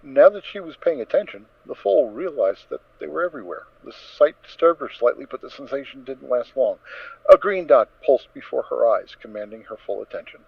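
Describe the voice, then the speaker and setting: Dry, mid-pitched male story narrator. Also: lively intonation, a little fast, creaky, slightly rough, no room sound. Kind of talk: dramatic scripted story narration. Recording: dull, dry lo-fi recording.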